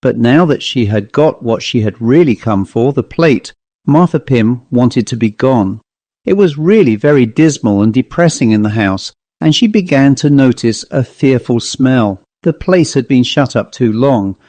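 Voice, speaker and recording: A male audiobook narrator reads a literary ghost story. His voice sits at a middle pitch, and the recording is close and dry, with no room around it. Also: expressive, measured pace, warm tone, no echo.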